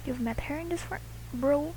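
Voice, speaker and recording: A woman's voice: high-pitched, moderate pace, darkish tone, a little hiss or hum, low-quality recording. A woman giving casual gameplay commentary, muttering short, fragmented reactions. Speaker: gamer. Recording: game music behind the voice.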